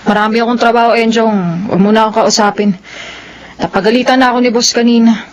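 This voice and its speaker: A woman's voice: fairly high pitch, steady tone, quick pace, lightly acted, low-quality recording. A woman playing a strong-willed, guarded and earnest tomboy in a radio drama. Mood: cold, weary. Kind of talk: radio drama acting in Filipino soap.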